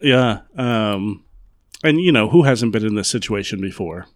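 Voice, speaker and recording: Man, raspy voice, monotone, deadpan, podcast co-host, dry sound. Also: on the low side, measured pace, no echo. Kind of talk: casual chat.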